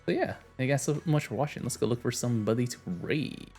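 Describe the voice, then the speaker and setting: A man streaming a game, reading its story aloud and chatting in a relaxed way, often trailing off. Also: medium pitch, fast talker, soft background music.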